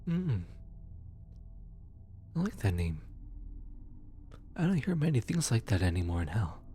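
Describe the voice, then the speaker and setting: A man playing a gentle, slow-talking low-voiced demon. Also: sing-song, slight background noise. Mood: awkward, friendly. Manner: hesitant, halting, tender, casual. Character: gentle, awkward male demon soul collector, soothing and sympathetic. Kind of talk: ASMR audio roleplay, soft comforting delivery.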